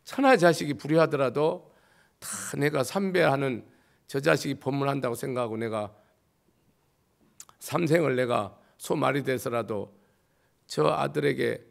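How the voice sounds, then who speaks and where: A man, a Buddhist monk, giving a sermon with a slightly hoarse voice, driving his points home with rhetorical questions and jumps between loud and quiet. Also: medium pitch, normal speed, pauses often.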